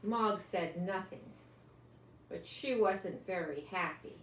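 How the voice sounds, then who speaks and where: A woman's voice: medium pitch, slow pace, a bit bright. An older woman reading a children's picture book aloud expressively, lingering on words and adding chatty asides as if to small children. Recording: roomy home recording with faint noise.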